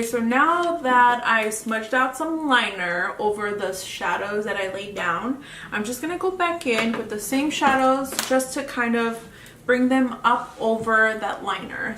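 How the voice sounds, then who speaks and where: A woman's voice: on the high side, a bit bright. A woman with a Mexican accent chatting casually through a makeup tutorial, often trailing off mid-sentence. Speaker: beauty vlogger. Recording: echoey room, mic at a distance, faint hiss.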